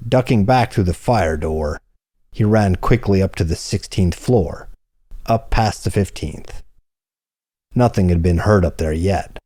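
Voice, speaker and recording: A man reading hardboiled crime fiction as an audiobook narrator, in a measured, deliberate storytelling manner. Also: deep voice, mellow, no room sound, clean studio sound.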